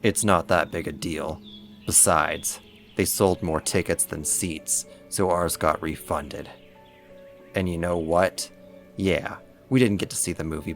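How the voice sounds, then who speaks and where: A man acts out a big, boastful male werewolf for a roleplay audio drama. His voice is very low and gravelly, with a smug, flirty, possessive swagger. Faint music plays underneath. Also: fairly bright tone, heavily acted. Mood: defensive, then flirtatious.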